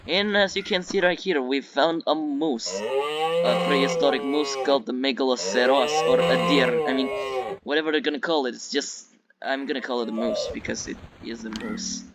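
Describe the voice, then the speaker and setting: A man's voice: medium pitch, normal speed, a loud crowd. A man from Russia with a Russian accent, giving casual, rambling gameplay commentary and often repeating himself. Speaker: YouTube game reviewer. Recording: dull lo-fi mic with music behind.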